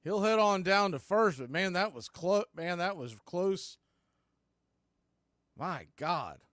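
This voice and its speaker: A man's voice: high voice, animated, slow pace, a bit bright, dry recording, studio quality. A man giving live baseball play-by-play commentary in the style of a radio broadcast.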